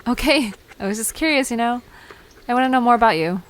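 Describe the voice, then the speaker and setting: A woman's voice: fairly high pitch, animated, fast talker, natural voice. A woman playing a curious, eager, chatty young visitor in a conversational audio drama. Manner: inquisitive, reactive. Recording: background noise with outdoor ambience.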